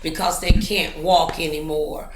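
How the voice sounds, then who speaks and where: A woman's voice: deep voice, fairly even intonation, measured pace, reverberant. A woman hosting a motivational interview in an encouraging, preacherly manner. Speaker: talk show host.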